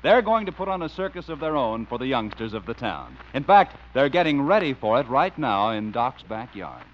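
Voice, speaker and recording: Animated old-time radio announcer man. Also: mid-range voice, moderate pace, slight background noise. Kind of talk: scripted narration, 1950s radio. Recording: muffled old radio recording.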